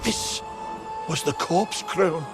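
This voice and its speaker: An actor playing an anxious, guilt-ridden mayor in a video game, fretful and theatrical, with a melodramatic delivery. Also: male voice, on the high side, slow pace. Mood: ominous, grave. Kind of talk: dramatic video game character acting. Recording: game music behind the voice. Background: music.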